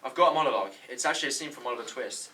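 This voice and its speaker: Naive, over-eager aspiring actor, read by a man, bright-voiced and boastful, amateur comedy read, faint noise. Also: mid-range voice, lively intonation, rapid-fire. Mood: eager, earnest. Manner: awkward, cocky, over-eager. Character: naive, boastful young would-be actor, easily delighted. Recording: distant mic, faint room noise.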